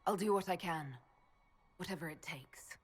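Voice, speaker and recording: A woman playing an earnest, bewildered mage held prisoner in a video game, speaking in a halting way. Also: fairly low pitch, natural voice. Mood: resigned, resolute. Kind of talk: dramatic video game acting. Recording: long hall reverb, music behind.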